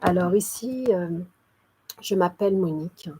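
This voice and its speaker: A woman's voice: fairly low pitch, measured pace, warm tone, breathy edge, poor audio. A woman in her forties from Cameroon, telling her life story to her viewers, hesitant and full of fillers, stacking repeated words for emphasis, apologetic and devout. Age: 40s. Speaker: channel host. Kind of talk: personal testimony to camera.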